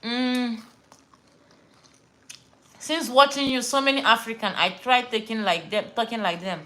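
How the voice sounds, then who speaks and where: High, bright-voiced Nigerian woman. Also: measured pace. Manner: chatty, animated storyteller with pidgin slang. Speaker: livestream host. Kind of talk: casual live-stream chat.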